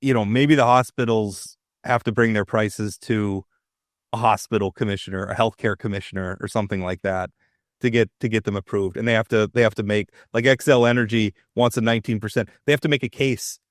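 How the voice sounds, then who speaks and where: A man's voice: lowish voice, fairly even intonation, brisk, no room sound, clean studio sound. A man co-hosting a political talk show, opinionated and emphatic, often restarting his sentences. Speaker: co-host.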